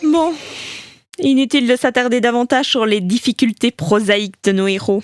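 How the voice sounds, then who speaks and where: A woman's voice: a little high, steady tone, moderate pace, dry recording, natural voice. A woman as the wry, theatrical narrator of a comic audio drama, teasing about the heroes in scripted storytelling.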